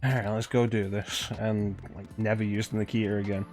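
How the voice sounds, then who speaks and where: A man's voice: on the low side, little pitch movement, brisk, a little mellow. A man giving casual commentary while streaming a video game. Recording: game music playing behind. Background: video game music.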